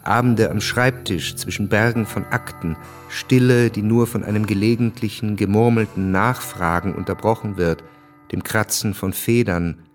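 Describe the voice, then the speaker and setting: An actor as a detached chronicler, narrating a historical radio drama in a factual, measured manner. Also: male voice, lowish voice, fairly even intonation, faint music behind, lightly acted. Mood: sober. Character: sober, detached male chronicler of historical events.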